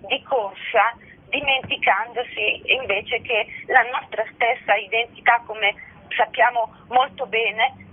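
A woman's voice: on the high side, slightly rough. A woman interviewed as a festival director, speaking earnestly in lofty, learned phrases, searching for her words as she goes. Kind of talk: radio phone interview, reflective explanation. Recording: phone-line radio interview sound.

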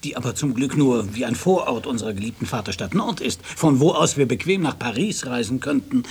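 Measured, dryly ironic professor. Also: male voice, mid-range voice, lively intonation, darkish tone, husky. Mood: placating, measured. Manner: measured, dry, placating. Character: measured, mildly ironic provincial history professor in France. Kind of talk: radio drama acting.

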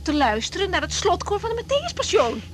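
A woman's voice: very high voice, very animated, fast talker, slight background noise. An actress playing a frank young woman doctor in a naturalistic Dutch radio drama, hesitant and informal in her speech. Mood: animated, confiding. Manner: hesitant, repeating words, informal. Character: young woman doctor, frank, caring but easily thrown.

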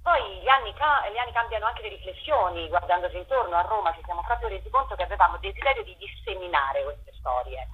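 A woman's voice: mid-range voice, animated, fast, hardly any pauses. A woman festival curator, a guest on a radio interview, explaining her work at length in a thoughtful way over a phone line. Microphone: telephone.

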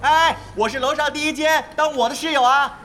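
A man's voice: very high-pitched, steady tone, brisk, sharp tone, a little hiss or hum. A man playing a goofy, naive young tough in an audio drama, boisterous and earnest, full of exclamations. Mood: friendly, eager.

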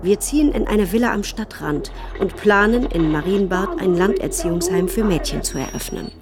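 An actress playing the resolute, caring wife of a persecuted German professor, narrating in a dramatised 1930s documentary radio play. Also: female voice, medium pitch, animated, moderate pace, lightly acted. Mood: sober, grave.

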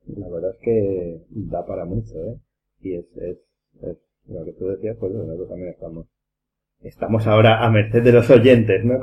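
Male podcast host, Castilian accent, low register, rambling and informal, on a muffled lo-fi recording. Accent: Castilian Spanish. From Spain. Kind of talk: casual round-table chat. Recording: dull lo-fi sound, background noise.

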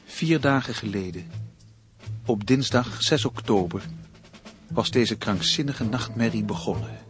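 A man's voice: fairly low pitch, measured pace, faint music behind, natural voice. Actor as brooding male writer in radio drama. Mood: grave, ominous. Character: male crime-thriller writer, brooding narrator, put-upon and yielding. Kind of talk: Dutch radio play acting, dramatic narration and dialogue.

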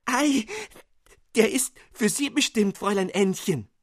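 A man doing a shrill, excited maid. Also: a little slow, dry recording, studio quality. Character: excited young maid, a peasant servant girl.

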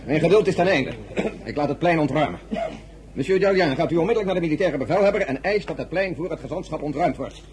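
Man acting a brisk, pompous diplomat. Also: on the high side, a crowd in the background, lightly acted. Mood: irritated. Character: impatient, self-important male diplomat, dismissive and evasive. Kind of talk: radio drama acting. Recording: clearly noisy old radio recording.

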